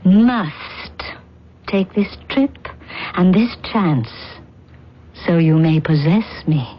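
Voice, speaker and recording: Pleading lover, very slow solemn speech, vintage radio drama, muffled lo-fi recording. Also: female voice, lowish voice, lively intonation, breathy edge, heavily acted. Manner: solemn, drawn-out. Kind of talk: old radio drama acting. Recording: dull lo-fi radio broadcast.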